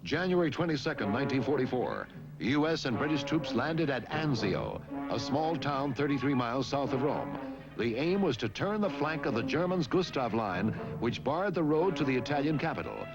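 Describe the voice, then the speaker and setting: A man's voice: mid-range voice, sing-song, moderate pace, muffled recording, music in the background. A man reading scripted historical narration for a war documentary.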